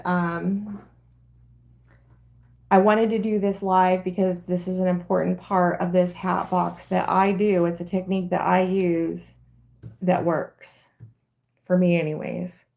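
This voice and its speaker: Slow, gravelly middle-aged woman. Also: on the low side, level tone, darkish tone. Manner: chatty, rambling, talks through each step. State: slightly hoarse. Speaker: crafter hosting a live stream. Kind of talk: casual live craft demonstration.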